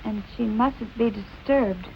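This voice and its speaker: High-voiced plucky young noir model. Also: female voice, slow pace, gravelly, slight background noise. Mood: polite, evasive. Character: plucky young photographic model covertly fishing for murder gossip. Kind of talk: 1940s film noir acting. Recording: dull old film soundtrack, background music.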